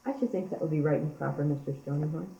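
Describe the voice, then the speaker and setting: A woman's voice: low-pitched, fast, creaky, warm tone. A young teenage girl playing an earnest, deferential male church organist in an amateur school radio play. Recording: roomy, muffled amateur recording.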